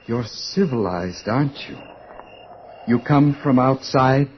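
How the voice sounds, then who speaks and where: An actor playing an earnest young male outsider with a slow, formal manner in a radio drama. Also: medium pitch, lively intonation. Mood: earnest, curious. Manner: slow, formal, archaic phrasing. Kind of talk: old-time radio drama acting. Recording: dull, noisy old radio recording.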